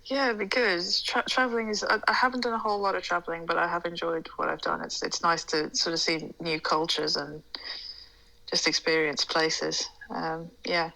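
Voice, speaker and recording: A woman's voice: lowish voice, little pitch movement, moderate pace, gravelly, pushed and bright, poor audio. A British woman, a guest on an interview podcast, chatting casually and hesitantly, often repeating words as she begins. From United Kingdom. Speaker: podcast guest, ASMR creator.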